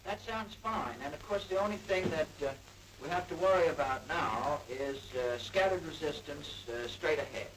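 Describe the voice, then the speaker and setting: An American man speaking in a measured, halting way as a commanding officer at a staff meeting. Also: fairly high pitch, fairly bright tone, low-quality recording. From United States. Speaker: army commanding general. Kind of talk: military staff meeting talk. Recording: 1940s newsreel, faint hiss.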